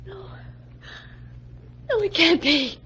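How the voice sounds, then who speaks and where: An actress playing a frightened, guilty wife, fearful and melodramatic in 1940s radio drama style. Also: female voice, extremely high pitch, animated, takes it slowly, fairly bright tone, exaggerated voice. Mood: terrified. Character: frightened, guilty wife and accomplice, highly strung. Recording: muffled, noisy old-time radio recording.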